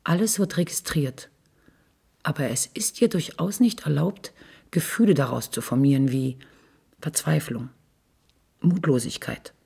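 A woman narrates scripted literary prose with restraint. Her voice is very husky and breathy, with a creaky edge and a soft, dark tone. The delivery is measured and sober. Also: low voice. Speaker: narrator in a radio literary feature.

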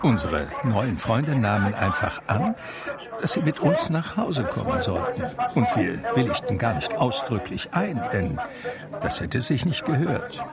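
An actor playing an aging man who recounts his memoir in a measured, reflective literary manner for a radio drama. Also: mid-range voice, big pitch swings, over loud music. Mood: reminiscing. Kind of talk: literary first-person radio drama narration.

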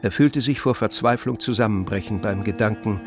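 A man narrating a literary radio drama in a measured, grave manner. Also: lowish voice, over music.

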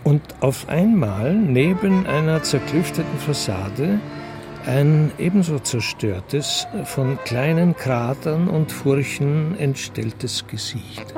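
An actor narrating a radio crime drama in a sober, scripted manner. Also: male voice, on the high side, expressive, slow, over music. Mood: measured.

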